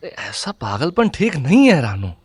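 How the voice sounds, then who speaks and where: Man acting hot-headed young man, animated delivery. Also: a little high, unhurried, breathy edge, dry recording, heavily acted. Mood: tense, angry. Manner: curt, aggressive, commanding. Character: hot-headed young college student politician, protective lover. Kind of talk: Hindi audio drama acting. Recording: music bed under the voice.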